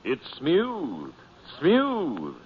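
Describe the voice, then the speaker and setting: Slow, swooping, enthusiastic beer lover. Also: male voice, a little high, huge pitch swings, over loud music, heavily acted. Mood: relishing. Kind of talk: 1940s radio commercial. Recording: dull 1940s radio recording.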